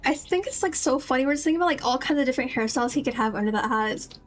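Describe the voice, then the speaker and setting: A woman's voice: high voice, expressive, very fast. A woman streaming a video game, chatting casually in a rambling, playful, self-deprecating way.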